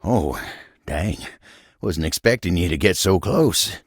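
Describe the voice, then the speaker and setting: Game role: earnest, kindly country feller, gravel-voiced, unhurried American Southern delivery, recorded very dry. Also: male voice, low voice, expressive, dry recording, full character voice. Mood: shy, grateful. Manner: folksy drawl, humble and earnest. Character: gentle, folksy living table, cowboy-like helper. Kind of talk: video game character voice acting.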